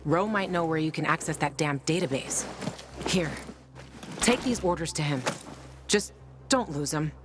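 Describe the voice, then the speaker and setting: A woman's voice: sits low, moderate pace, pauses often, background noise, natural voice. An actress voicing a blunt, tough, foul-mouthed young woman fighter, wry and loyal, in a video game. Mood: matter-of-fact. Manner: blunt, swearing, conversational. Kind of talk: video game dialogue acting.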